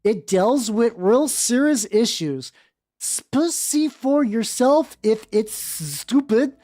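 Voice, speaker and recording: A woman's voice: on the high side, animated, takes it slowly, mellow. A woman voicing a melodramatic, angsty goth teenage girl from a fan fiction story. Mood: indignant. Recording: dry close sound with music behind.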